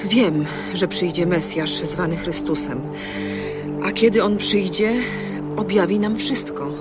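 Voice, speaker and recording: A woman's voice: fairly low pitch, fairly even intonation, a little slow, natural voice. Actress as earnest, questioning village woman. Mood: earnest, respectful. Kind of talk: dramatised audio Bible radio play. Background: music.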